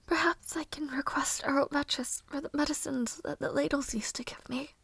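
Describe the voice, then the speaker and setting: A woman playing a weary, mistreated young maid in an audio roleplay, musing softly to herself in formal, breathy half-whispers. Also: high voice, steady tone, no echo. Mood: weary, anxious. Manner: slow, soft, formal old-fashioned phrasing, musing to herself.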